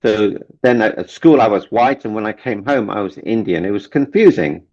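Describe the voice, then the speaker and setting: A man's voice: lowish voice, animated, moderate pace, a little mellow. A man from Kenya, a relationship coach guest, speaking earnestly and repeating key phrases for emphasis. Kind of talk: motivational interview talk. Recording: dull lo-fi sound.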